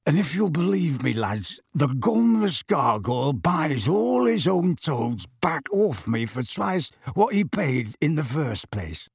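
Shifty, boisterous male rogue for a storybook; rough speech, animated, dry recording. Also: a little high, takes it slowly, hardly any pauses, no room sound, heavily acted. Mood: gleeful. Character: gruff, shifty, boisterous crook telling a yarn.

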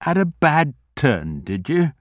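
A man's voice: fairly high pitch, big pitch swings, slow pace, no echo, pitched above the performer's own voice, heavily acted. A man doing a fretful old shopkeeper. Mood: anxious. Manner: fussing, worried, old-fashioned politeness. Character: anxious kindly old male corner grocer.

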